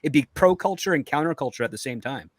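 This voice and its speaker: A man's voice: on the high side, lively intonation, fast, dry recording. A man speaking as a panelist in a casual discussion.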